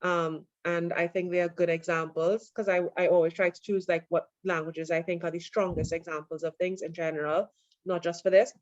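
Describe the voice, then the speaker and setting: A woman lecturer giving an online class, relaxed and full of fillers as she answers questions. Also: on the low side, fairly quick, no room sound. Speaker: university lecturer. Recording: dull lo-fi online audio.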